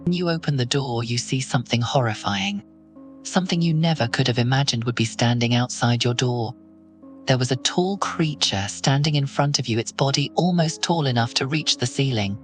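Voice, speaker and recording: A man narrates a horror scene in the second person, steady and ominous. His voice sits at a middle pitch, with soft music underneath. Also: moderate pace.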